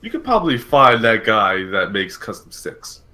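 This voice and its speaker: Bright-voiced man hosting a gaming podcast, haltingly. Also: lowish voice, sing-song. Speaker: podcast host. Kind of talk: casual gaming podcast discussion.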